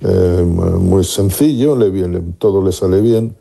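A man's voice: deep voice, slow pace, gravelly, dark tone. A man giving football analysis on sports radio, thinking aloud with frequent restarts. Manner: musing, many restarts and fillers. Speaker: football pundit.

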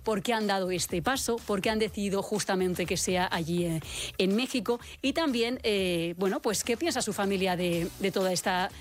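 A woman, hosting a live radio chat in a lively, chatty way. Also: medium pitch, normal speed. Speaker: radio host.

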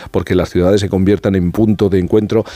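A man hosting a radio show, reading a scripted introduction and then chatting with his panel in a measured, announcer-like way. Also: low voice, level tone, mellow, dry recording. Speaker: radio host. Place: radio studio.

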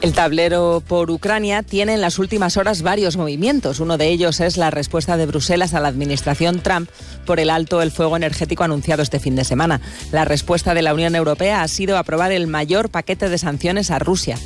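A man reading the news as a radio anchor in a measured, formal way. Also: on the high side, steady tone, soft background music.

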